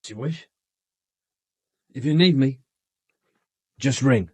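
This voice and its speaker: Snooty sardonic brit guy, clipped, mid pitch, rough husky voice, radio drama. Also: slow pace, no echo. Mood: protective, cool. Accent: British. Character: cool, supercilious, sardonic man under police questioning. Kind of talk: British radio drama acting.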